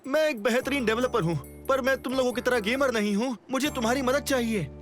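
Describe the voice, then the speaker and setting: A man voicing a vain, anxious, easily exasperated game inventor in an animated comedy dub. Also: very high voice, brisk, soft background music. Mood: indignant, pleading.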